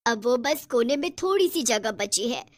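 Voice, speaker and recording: Cartoon horror witch, played by a woman: high, gloating, bossy, taunting cackler, dry close sound. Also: expressive, moderate pace, no room sound. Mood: demanding, scheming. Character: gloating, bossy, selfish female witch who kills people. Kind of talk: Hindi horror cartoon story acting.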